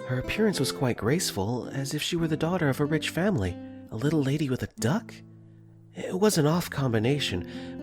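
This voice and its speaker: A man voicing an easygoing, wry teenage boy who narrates his own story in a conversational tone with joking asides, for a visual novel fan dub. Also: fairly high pitch. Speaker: voice actor. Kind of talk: first-person visual novel narration and dialogue.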